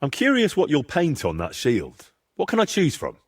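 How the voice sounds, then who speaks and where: A young medieval hero in a game, down to earth, sceptical, bit husky, expressive pitch. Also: male voice, normal speed, full character voice. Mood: curious. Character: plain-spoken, sceptical young medieval adventurer man. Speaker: protagonist of a game. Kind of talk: video game cutscene dialogue.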